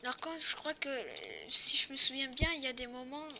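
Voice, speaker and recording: A woman's voice: sits high, fairly even intonation, a little fast, bright, forceful tone, slight background noise. A woman improvising a roleplay during a video game, informal and hesitant with many fillers. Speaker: player in a Fortnite zombie roleplay.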